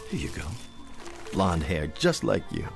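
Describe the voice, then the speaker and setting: A kindly old street Santa in a radio drama. His low voice rises and falls freely, gentle, folksy and a little tired, over a clear bed of music. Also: very animated, unhurried, full character voice. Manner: gentle, avuncular, folksy, musing. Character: kindly, weary old street Santa Claus, a big-hearted man.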